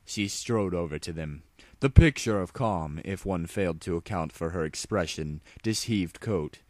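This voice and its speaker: A man's voice: deep voice, animated, normal speed, no room sound. A man narrating a story as a tongue-in-cheek dramatic reading.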